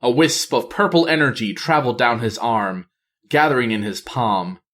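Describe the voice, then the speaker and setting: A man reading a fantasy novel aloud as scripted narration, in a measured, theatrical manner. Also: medium pitch, fairly bright tone. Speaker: audiobook reader.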